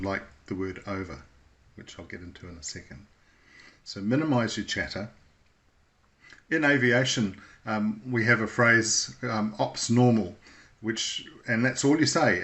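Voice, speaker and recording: Male instructor, lowish voice, unscripted explainer delivery, measured and slightly hesitant, pausing to think between phrases. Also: fairly bright tone. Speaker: instructor presenting a radio how-to video. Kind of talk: informal unscripted tutorial.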